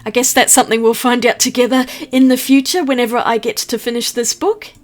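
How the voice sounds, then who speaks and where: A woman's voice: on the high side, steady tone, brisk. A woman from New Zealand, an art vlogger narrating a voice-over with a chatty, upbeat manner, explaining each step.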